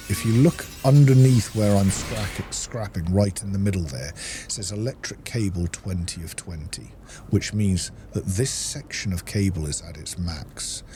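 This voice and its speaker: A man's voice: lowish voice, warm tone. A man giving a casual gameplay walkthrough, explaining things in practical asides to the viewer. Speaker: gaming video host.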